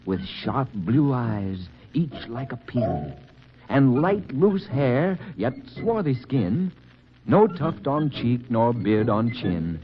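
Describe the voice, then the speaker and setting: A man narrating a poem in a slow, expressive, rhythmic way, on a dull 1940s radio broadcast. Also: mid-range voice. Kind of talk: dramatic poetry narration.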